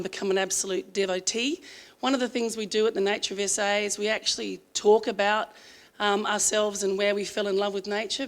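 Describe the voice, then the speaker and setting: Australian woman speaker, voice strained. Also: medium pitch. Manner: chatty, frequent ums, earnest. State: strained voice from singing. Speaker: conference speaker. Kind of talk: conference presentation.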